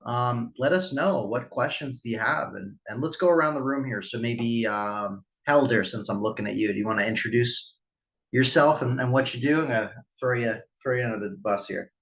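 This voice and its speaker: A man's voice: mid-range voice, moderate pace, a bit bright. A man, a company CEO, hosting a casual online town hall meeting, friendly and rambling with many fillers.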